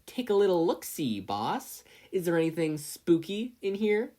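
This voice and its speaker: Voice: mid-range voice, big pitch swings, measured pace, dark tone, pitched above the performer's own voice. A reader voicing a smug, jeering teenage boy who tags along as a bully's crony. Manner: taunting.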